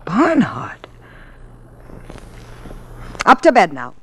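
An actress playing a gentle, gracious young mother in a 1950s radio drama. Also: medium pitch, huge pitch swings, very slow, slightly rough, exaggerated voice. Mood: gracious, puzzled.

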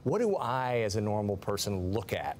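Middle-aged guy interviewing, low voice, direct questions, faint room hum. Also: very animated, moderate pace, darkish tone. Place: TV studio with newsroom behind.

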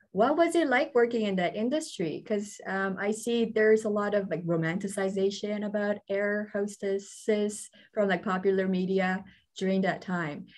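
A young woman interviewing a guest on a video call, asking questions politely and affirming softly as she listens. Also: medium pitch. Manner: polite, with soft backchannel affirmations.

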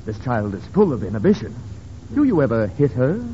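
A muffled, lightly hissy old radio recording of a pompous psychoanalyst. His formal, questioning delivery swings up and down in lively fashion, in vintage comedy style. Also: mid-range voice, a little fast. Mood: instructing. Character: self-important, patient but easily interrupted psychoanalyst doctor. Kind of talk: 1930s radio comedy acting.

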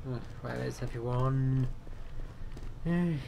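A man's voice: medium pitch, takes it slowly, gravelly. A man with a cold and a stuffed, nasal voice, chatting and joking at himself while playing a game. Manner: chatty, self-mocking, reacts aloud while playing. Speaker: gaming channel host. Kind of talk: casual gameplay commentary. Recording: game sounds and keyboard noise behind.

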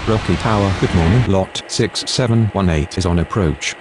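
A man's voice: low voice, normal speed. A man speaking scripted air traffic radio phraseology for a control game.

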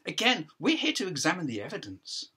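A man reads the part of a needling counsel at a hearing in a stage play. His questions are pointed and insinuating, in a husky voice with big swings of pitch. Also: huge pitch swings, brisk, sharp tone, heavily acted. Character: sceptical, needling prosecuting counsel at a hearing, male.

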